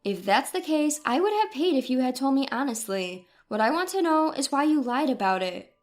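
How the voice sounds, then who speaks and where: A woman's voice: high voice, sing-song, moderate pace. A woman voicing a caring but persistent grandmother in dialogue from a narrated story. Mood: indignant. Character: indignant, caring, persistent grandmother confronting her family.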